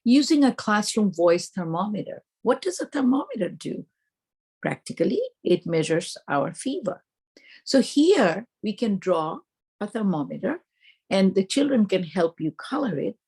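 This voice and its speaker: Woman, teacher trainer, Indian accent. Explanatory delivery with a gravelly edge, often asking and answering her own questions. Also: medium pitch, very animated, measured pace, a little mellow. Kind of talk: instructional presentation to teachers.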